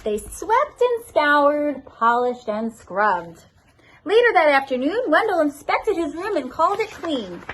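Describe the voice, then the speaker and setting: Female school administrator for children's read-aloud: high voice, very expressive, faint background noise. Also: unhurried, poor audio. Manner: expressive, addressing children directly. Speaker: school assistant principal reading to pupils.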